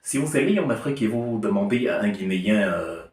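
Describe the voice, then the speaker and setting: A man with a fairly low voice delivers an argumentative, emphatic commentary laced with irony. He sits some distance from the mic in a reverberant room, so his words carry a roomy echo. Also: lively intonation, a little fast, a little mellow. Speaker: political commentator. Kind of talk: opinion monologue to camera.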